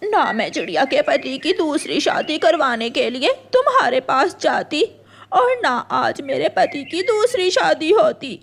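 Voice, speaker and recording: Woman as a high-pitched, jealous cartoon crow wife. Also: sing-song, measured pace, vocal fry, full character voice. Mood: rueful. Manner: melodramatic, indignant. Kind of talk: Hindi cartoon story acting.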